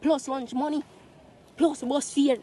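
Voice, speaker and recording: A young man with a Jamaican accent telling stories casually among friends in animated, sweary slang. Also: very high-pitched, a little slow. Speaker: storyteller in a group of friends. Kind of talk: casual group storytelling.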